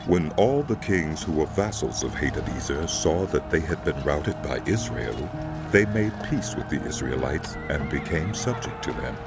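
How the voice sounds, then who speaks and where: A man's voice: rumbling low, expressive, normal speed, gravelly. A man narrating scripture from a script in a solemn storytelling style. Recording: loud music bed behind narration.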